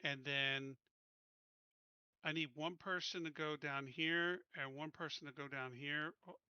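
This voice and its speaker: A man's voice: fairly high pitch, fairly even intonation, a little slow, pushed and bright, clear, resonant tone, no room sound, muffled recording. A man playing a tabletop roleplaying game, giving blunt, directive instructions in casual table talk.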